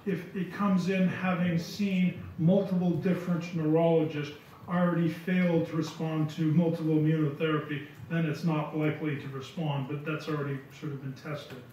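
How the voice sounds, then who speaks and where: A man's voice: a little high, normal speed. Man answering questions in echoey hall. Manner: relaxed, repeats words while thinking. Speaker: visiting lecturer answering questions. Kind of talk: conference Q&A answers. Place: large lecture hall. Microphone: podium mic from far. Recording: echoey hall, distant dull sound, faint hiss.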